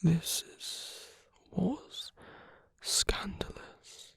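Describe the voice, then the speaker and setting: A woman performing an ASMR roleplay as a suave, teasing, flirtatious villain, in a breathy, husky half-whisper with slow, coaxing murmurs. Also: dry recording, clean studio sound. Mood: patient, guiding. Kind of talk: ASMR roleplay, intimate scripted monologue.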